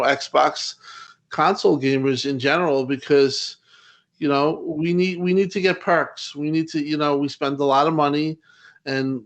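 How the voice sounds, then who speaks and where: A man talking on a gaming podcast panel, rambling with lots of "you know" fillers and restarts. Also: on the high side. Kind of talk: casual chat.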